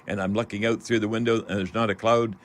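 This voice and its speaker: A man's voice: fairly low pitch, flat delivery, fast. A man hosting a live radio call-in show, chatting in a folksy way and often addressing the listeners directly.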